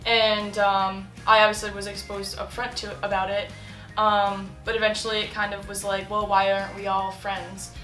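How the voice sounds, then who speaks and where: Bright-voiced young woman, chatty. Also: mid-range voice, monotone, moderate pace. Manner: chatty, many fillers and laughs. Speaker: interviewee. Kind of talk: casual interview chat. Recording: roomy echo with faint music.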